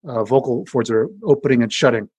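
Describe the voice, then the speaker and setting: Fast-talking husky scientist, a man. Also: a little mellow, dry recording. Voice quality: deep voice. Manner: casual and explanatory, many fillers and restarts. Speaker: scientist being interviewed. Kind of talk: explaining research in an interview.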